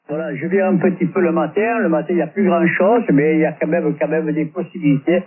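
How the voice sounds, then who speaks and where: High-pitched man rambling over tinny ham radio. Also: fast talker. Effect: radio transmission. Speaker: amateur radio operator. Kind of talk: casual radio chat. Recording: tinny narrow-band radio link, distant, faint static and clicks.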